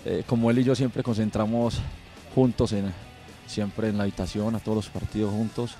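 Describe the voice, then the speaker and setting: Low, mellow-voiced man. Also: flat delivery, normal speed, faint music behind. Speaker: teammate, footballer. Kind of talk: interview answers.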